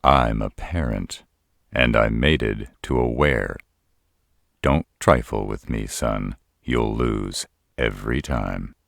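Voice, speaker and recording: Dude acting as a stern gruff dad in a roleplay, super deep n gravelly, takes his time, blunt. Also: slow pace, a bit bright, no room sound, studio quality, full character voice. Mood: commanding. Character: stern, shrewd human father-in-law, gruff patriarch. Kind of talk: roleplay audio drama acting.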